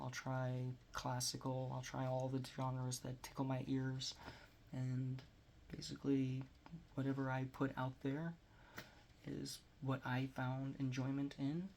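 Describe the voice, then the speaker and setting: A man's voice: medium pitch, creaky, darkish tone, a little hiss or hum. A Texan man in his forties talking casually to a close camera in a small home room, rambling and self-deprecating. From Texas. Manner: rambling, self-deprecating, drops to near-whisper at times. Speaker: vlogger. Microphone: phone or webcam close to face.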